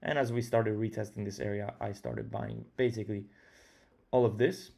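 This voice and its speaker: A man's voice: fairly low pitch, fairly even intonation, normal speed, vocal fry, darkish tone. A young man with an Italian accent hosting a live trading stream from home, talking casually and thinking aloud with fillers. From Italy. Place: his home.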